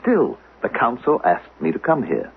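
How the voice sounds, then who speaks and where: An actor playing a smooth, insinuating council agent in 1970s radio drama, deliberate and politely menacing. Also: male voice, mid-range voice, wildly swooping pitch, full character voice. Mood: probing, insinuating. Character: smooth, insinuating male council agent posing as language teacher. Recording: muffled lo-fi 1970s radio broadcast.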